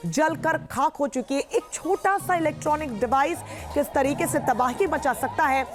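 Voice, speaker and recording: A woman's voice: high-pitched, normal speed. A woman presenting live breaking news as an anchor, in an urgent, emphatic, repetitive way. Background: news music bed.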